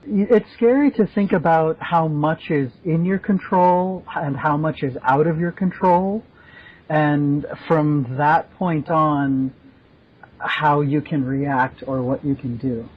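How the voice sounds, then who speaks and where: Higher-voiced man chatting casually. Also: takes it slowly. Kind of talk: casual chat, interjecting. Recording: dull, band-limited audio.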